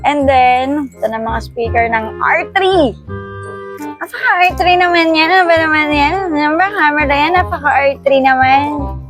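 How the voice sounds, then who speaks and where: A woman's voice: high voice, moderate pace, a bit bright, soft background music. A Filipino woman talking casually and playfully to viewers as she presents a product in an unboxing vlog. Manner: playful, giggly, chatty with exclamations. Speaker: vlogger unboxing a motorcycle intercom.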